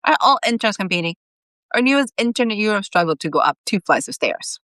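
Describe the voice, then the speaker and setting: A woman's voice: medium pitch, lively intonation, a little fast, fairly bright tone, dry recording, voice pushed up, natural voice. A woman reading the part of a sceptical female army veteran in a storybook, blunt and exasperated.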